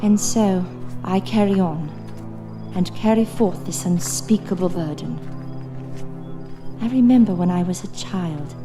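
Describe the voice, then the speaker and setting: A woman's voice: on the low side, lightly acted. A woman playing an earnest, resolute heroine burdened with fighting evil in a theatrical horror audio drama. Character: young woman burdened with banishing evil, frightened yet resolute. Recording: echoey long reverb over music.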